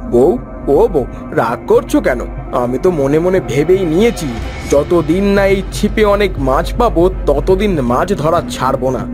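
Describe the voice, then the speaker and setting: A man voicing a stubborn but cheerful henpecked village husband in a cartoon, coaxing and excitable. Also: on the high side, normal speed, over music, close to an everyday voice. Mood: pleading, muttering. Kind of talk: exaggerated Bengali cartoon voice acting.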